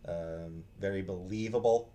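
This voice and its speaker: Low-voiced man, halting casual reviewer. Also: mellow. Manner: halting, hesitant, trailing off and restarting. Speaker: book reviewer, vlog host. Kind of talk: casual unscripted book review chat.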